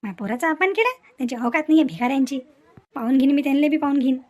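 A woman's voice: high-pitched, racing, a little mellow, lightly acted. A woman voicing a spiteful, scheming mother-in-law in a cartoon family drama, muttering repeated threats. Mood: bitter, scheming.

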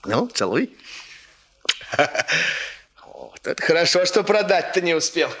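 A man's voice: a little high, sing-song, measured pace, fairly bright tone, heavily acted. An actor playing a hearty, doting but evasive middle-aged family man with colloquial, hesitant speech in a 1960s radio play. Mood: hearty, bragging.

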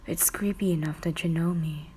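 A woman voicing a cool, protective teenage boy in a romantic roleplay, murmuring low and breathy with a curt manner. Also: steady tone, moderate pace, dark tone. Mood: cold, scornful. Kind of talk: romantic fan-fiction roleplay ASMR.

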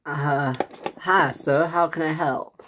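A performer as an unsure, slow-talking male shop clerk. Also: medium pitch, steady tone, background noise, lightly acted. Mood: hesitant, puzzled. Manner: unsure, halting, polite. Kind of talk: acted pretend-play story.

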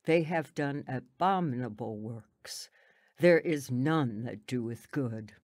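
A woman's voice: extremely low pitch, slow, a little mellow, no room sound, studio quality. A woman playing a pious, plainspoken old country grandmother in a radio drama, solemn and folksy in manner.

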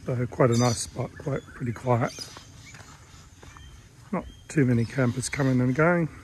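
A man's voice: medium pitch, unhurried, a little hiss or hum. Easygoing Australian man vlogging outdoors. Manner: relaxed, chatty, with many fillers. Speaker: travel vlogger. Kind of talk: casual vlog to camera. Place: outdoors in a pine forest campsite. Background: birdsong and bush ambience.

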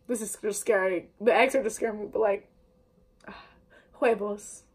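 A woman's voice: mid-range voice, steady tone, moderate pace, heavy background noise. A young woman vlogging at home, talking casually to the camera with upbeat, slangy chatter while she bakes. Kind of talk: casual baking vlog. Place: indoors at home, kitchen table before a wallpapered wall.

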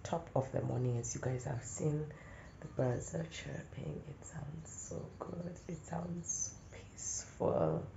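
A young adult woman vlogging casually outdoors in the morning, her voice congested from a cold, talking softly and sometimes slipping into a whisper. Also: deep voice, slow, gravelly, reverberant, lo-fi sound, slight background noise. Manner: soft-spoken, drifting into whispers. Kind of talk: casual morning vlog talk.

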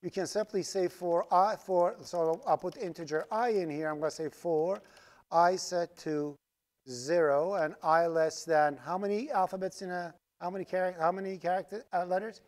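A man's voice: high voice, steady tone, normal speed. Man teaching code, native Farsi speaker. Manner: explains step by step while typing code, asks "right?" often. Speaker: programming instructor. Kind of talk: live coding lecture. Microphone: screen recording with voice-over mic.

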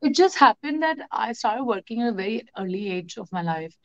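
A woman from Bihar with an Indian English accent, a native Bhojpuri speaker, talking as an interview guest. She talks rapidly with many fillers and mixes in Hindi. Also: on the high side, animated, dry recording. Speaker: interview guest, actress and producer. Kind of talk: casual interview talk. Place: indoor room at night, on a video call. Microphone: laptop or phone camera mic on a video call. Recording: dull, dry, lo-fi video call audio.

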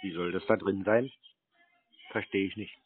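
A man's voice: low-pitched, steady tone, moderate pace, breathy edge, dry recording, soft background music. A man on a phone call who acts obtuse and confused, asking question after question. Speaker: scam-baiter playing a called customer. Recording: narrowband phone line.

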